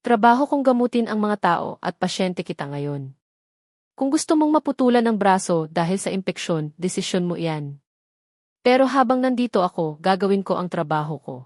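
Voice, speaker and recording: A young woman doctor in an audio love story, played by a female narrator, speaks with calm authority. She is professional and commanding, in a medium-pitched voice with a dry, close sound. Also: normal speed, dry recording, close to an everyday voice. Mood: firm.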